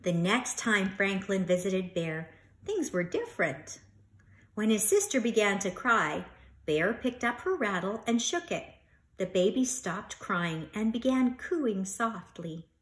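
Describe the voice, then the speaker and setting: A woman reading a children's story aloud like a teacher, expressively, pausing to comment and instruct. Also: mid-range voice, animated.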